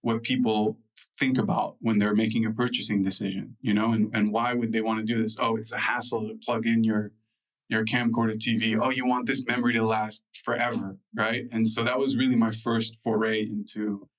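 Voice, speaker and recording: A man with a low, fairly monotone American voice, recalling his youth for an interviewer. He keeps circling back with fillers and restarts. He sounds a bit distant from the microphone. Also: a little fast. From United States. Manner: loose, filler-heavy, with frequent restarts. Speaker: guest. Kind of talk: relaxed interview storytelling.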